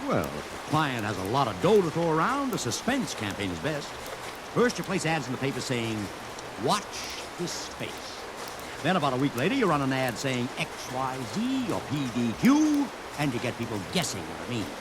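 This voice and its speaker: An actor in a 1950s radio drama playing a brash, fast-talking male ad man with breezy, slangy salesman patter. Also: mid-range voice, wildly swooping pitch, noisy background. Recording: vintage radio recording under loud rain.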